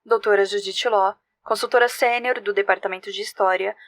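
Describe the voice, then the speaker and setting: A woman plays a scholarly senior researcher in an audio drama. She reads her report formally and analytically, in measured sentences at a middle pitch. The sound is very dry, with no room around it. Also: fairly even intonation, fairly bright tone, no echo, natural voice. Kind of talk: scripted report narration.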